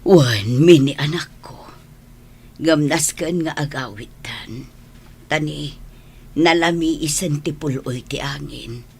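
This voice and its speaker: A woman playing a gentle, worried elderly mother in a radio drama, speaking with a Filipino accent in a tender, consoling way. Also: deep voice, slow pace, gravelly, long pauses, husky, exaggerated voice. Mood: tender concern.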